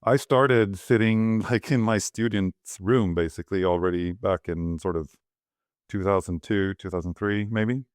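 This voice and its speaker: Middle-aged man, deep voice, dead-dry sound; relaxed, rambling interview guest. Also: measured pace, no echo. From Sweden. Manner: rambling, thinking aloud with fillers and restarts. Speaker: architect, guest.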